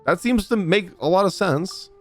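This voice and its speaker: Animated young man reacting to a game. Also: sits high, normal speed. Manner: informal, animated. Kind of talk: casual gameplay reaction, reading text aloud. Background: game music.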